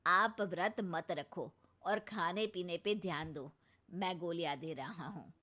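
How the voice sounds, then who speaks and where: A woman voicing a calm middle-aged male doctor in a cartoon, giving advice with a dry joking manner. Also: fairly low pitch, measured pace. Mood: advising. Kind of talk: Hindi cartoon voice acting.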